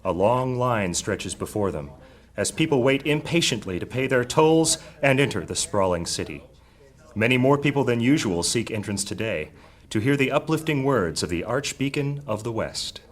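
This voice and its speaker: A man's voice: medium pitch, animated, normal speed, natural voice. A man narrating an epic fantasy audio drama as a solemn storyteller. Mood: measured.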